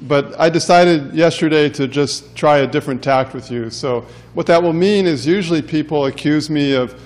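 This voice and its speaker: A male professor giving a lecture in a classroom, loud and wordy by his own account. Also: on the high side, moderate pace. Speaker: professor and center director. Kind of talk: university lecture. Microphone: handheld mic.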